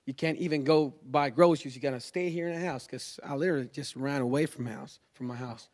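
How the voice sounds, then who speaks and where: He is a man from Chile whose first language is Spanish, and his Chilean accent comes through. His voice sits at a middle pitch. He speaks like someone giving a personal testimony, informal and unscripted, stopping and starting as he goes. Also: darkish tone. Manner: halting, informal, peppers talk with "you know" and "man". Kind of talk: personal religious testimony, spontaneous storytelling.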